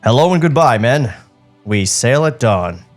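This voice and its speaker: A man's voice: medium pitch, sing-song, measured pace, faint music behind. A man playing a breezy, self-important young army enlistee with grandiose, theatrical delivery in a comic film script read.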